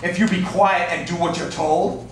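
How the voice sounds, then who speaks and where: A man's voice: sits high, steady tone, moderate pace. Man playing a bossy fight fixer, very echoey. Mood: bossy, menacing. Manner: wheedling yet menacing, condescending. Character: scheming male fight fixer, bossy, smooth, condescending. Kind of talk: amateur staged play reading. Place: echoey indoor room, staged reading. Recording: distant echoey room, faint noise.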